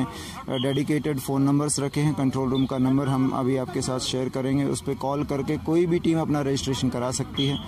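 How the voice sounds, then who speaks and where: A male police officer giving a formal, measured statement to media outdoors, mixing in English terms. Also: mid-range voice. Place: sports ground outdoors. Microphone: handheld news mic held to masked speaker. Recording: noisy outdoor field recording.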